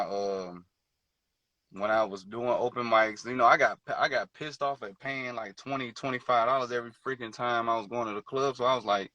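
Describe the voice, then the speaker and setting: A man's voice: mid-range voice, bright, forceful tone, dry recording, lo-fi sound. A man chatting casually as an interview guest over a video call, laid-back and slangy, with many fillers. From Detroit and Georgia, born in Hawaii. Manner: laid-back, slangy, many fillers and "you know what I'm saying". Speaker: guest musician.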